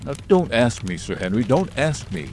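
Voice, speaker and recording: A man's voice: medium pitch, very animated, moderate pace, a little mellow, a little hiss or hum, lightly acted. A man voicing a frightened, secretive middle-aged butler who speaks in a deferential, pleading way. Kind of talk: audio drama acting.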